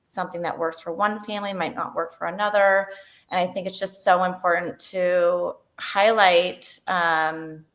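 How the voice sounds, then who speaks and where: A woman's voice: lowish voice, steady tone, normal speed, fairly bright tone. A woman in her forties, a dietitian and founder, speaking earnestly on a webinar panel. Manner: earnest, enthusiastic, with fillers. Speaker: registered dietitian, company founder.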